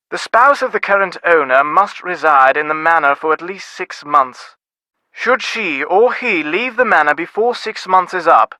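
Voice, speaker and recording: Bright-voiced, formal British gentleman diplomat. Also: on the high side, no echo, rough recording. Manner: formal, clipped, wordy. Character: reserved, busy middle-aged upper-class British diplomat husband. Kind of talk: video game voice acting.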